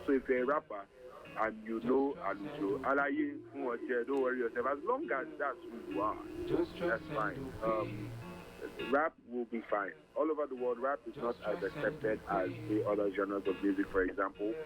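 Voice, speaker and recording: A man who is a rapper, answering as a guest on a radio interview in a reflective way, often pausing with "um". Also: medium pitch, rough recording. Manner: reflective, hesitant, many "um"s.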